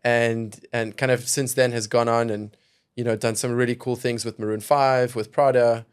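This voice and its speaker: Young guy from south africa, middle pitch, chill and thoughtful, lots of kind of and you know. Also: little pitch movement, normal speed, clean tone. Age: young adult. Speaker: venture capital investor, podcast guest. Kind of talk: casual podcast conversation.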